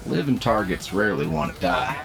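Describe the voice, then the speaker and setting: A man's voice: lowish voice, sing-song, a little fast, music in the background. A man playing a cocky, seasoned demonic hunter who teaches an apprentice in a folksy drawl, in an audio roleplay. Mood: instructive.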